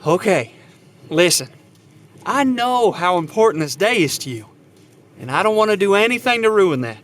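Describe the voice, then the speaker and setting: An earnest young suitor played by an actor in an audio drama. His voice sits high for a man and moves up and down freely, sheepish and devoted. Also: big pitch swings, moderate pace, a bit bright. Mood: tentative.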